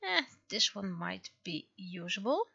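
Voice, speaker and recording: A woman's voice: mid-range voice, big pitch swings, a little slow, gravelly, sharp tone, breathy edge, dull audio. A woman casually thinking aloud in short fragments as she works on art during a livestream. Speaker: livestreamer, digital artist. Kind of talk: casual livestream commentary while drawing.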